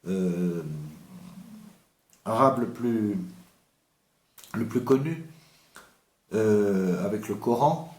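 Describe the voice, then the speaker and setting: A man speaks with slow, deliberate pacing, stopping often to hesitate and restart his sentences. His voice sits at an ordinary pitch. The room around him is echoey and reverberant. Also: a little mellow. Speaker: host presenting an author. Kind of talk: unscripted literary talk.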